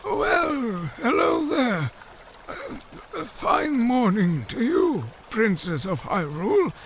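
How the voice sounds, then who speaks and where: Elderly fish-folk adviser: high, gravelly, deferential, formal, unhurried fantasy audio drama delivery. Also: male voice, wildly swooping pitch, a bit bright, slight background noise, full character voice, rough recording. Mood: cheerful, deferential.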